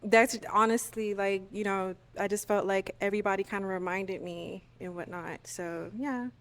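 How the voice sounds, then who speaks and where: A woman's voice: mid-range voice, steady tone, normal speed, fairly bright tone. A woman in her thirties on a dating show, answering in a hesitant, rambling way. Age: 30s. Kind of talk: casual chat.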